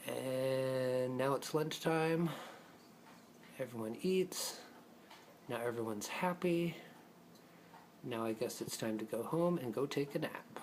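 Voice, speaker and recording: A man with a mid-pitched voice narrates a homemade puppet show. He improvises in a playful, casual way, talking to the characters as he tells the story. The amateur recording carries some faint noise.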